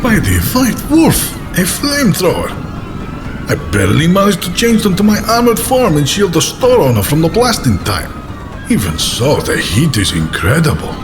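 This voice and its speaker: Man as an excitable, high-voiced armored hero. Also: moderate pace, music in the background. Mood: strained, amazed. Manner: dramatic, exclamatory. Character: earnest, alarmed young male armored mutant hero. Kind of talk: audio drama acting.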